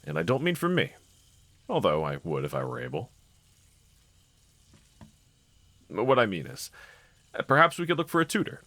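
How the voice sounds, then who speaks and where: A man playing a gentle, encouraging husband in an intimate scripted roleplay audio, speaking in a considerate, reassuring manner. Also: fairly low pitch, wildly swooping pitch, fast talker, no room sound, heavily acted. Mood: tactful, earnest. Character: gentle, encouraging adult husband, calm and caring. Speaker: character audio voice actor.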